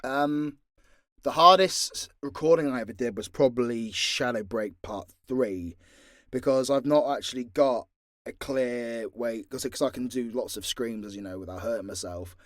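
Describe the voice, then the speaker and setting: A man's voice: medium pitch, moderate pace, fairly bright tone, no echo, studio quality. A British man answering fan questions in a casual, unscripted, sweary and jokey way, as a voice actor and audio creator. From Britain.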